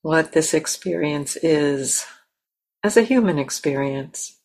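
Slow, low-pitched woman guiding meditation. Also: expressive. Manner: gentle, unhurried, with long pauses. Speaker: meditation teacher. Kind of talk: guided meditation.

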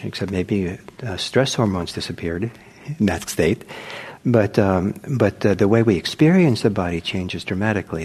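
An older man who speaks softly, giving a gentle, unhurried meditation talk and answering questions. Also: fairly low pitch, breathy edge. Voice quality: soft-spoken. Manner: gentle, unhurried, with many restarts. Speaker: meditation teacher. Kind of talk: dharma talk with Q&A. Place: meditation hall.

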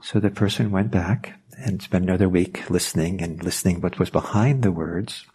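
A man whose first language is Norwegian speaks in a low, dark, gravelly voice. He gives a quiet spiritual talk on listening. He pauses often and searches for his words. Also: slight background noise. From Norway. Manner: thoughtful, hesitant, searching for words.

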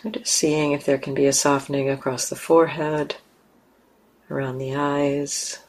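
A woman leading a guided meditation, speaking calmly and gently with long, unhurried pauses. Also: low-pitched, rough recording. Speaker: meditation teacher.

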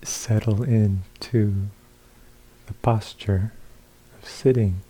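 A man leads a guided meditation in a deeply mellow, relaxed voice that sits a little low. He speaks very slowly and calmly, offering short phrases with long pauses between them, as a teacher gently giving instructions. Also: husky, low-quality recording.

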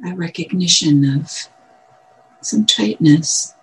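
A woman meditation teacher guiding a meditation in gentle, measured short phrases with long pauses. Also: fairly low pitch, low-quality recording. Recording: clear background noise, room ambience.

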